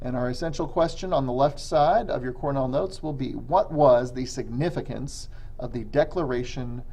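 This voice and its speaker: A man's voice: mid-range voice, normal speed, mellow. American man teaching a recorded lesson. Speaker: middle school history teacher. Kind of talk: recorded video lesson for students.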